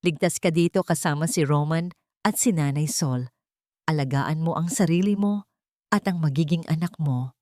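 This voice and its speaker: A woman's voice: low voice, moderate pace, warm tone, dry recording, lightly acted. Resolute protective big sister, played by a female narrator, firm and directive, audio story, dry sound.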